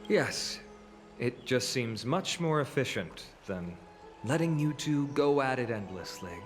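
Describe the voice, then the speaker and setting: A man playing a calm, reflective young scholar in a video game, speaking in a measured, articulate way. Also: medium pitch, soft background music, natural voice. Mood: matter-of-fact. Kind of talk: English video game voice acting.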